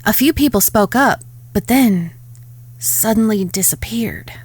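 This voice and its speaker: A composed, sharp-tongued frontier woman played by an actress in a western audio drama. Her voice is mid-pitched, stern and guarded, recorded very dry. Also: lively intonation, unhurried, husky, no room sound. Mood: grave, scornful.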